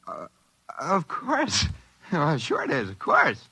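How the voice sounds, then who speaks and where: An American actor playing an excitable, work-shy schemer who stammers when flustered, in mid-century radio drama style. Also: male voice, a little high, slow, creaky, slightly rough, no room sound, full character voice. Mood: bluffing. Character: work-shy scheming dreamer who finds a wishing machine. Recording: vintage radio recording with faint music.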